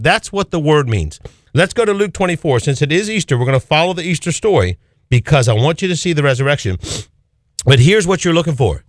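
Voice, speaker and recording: A man's voice: a little high, no room sound, clean studio sound. A man preaching an Easter sermon as pastor, pressing his points with swinging emphasis and repeated phrases.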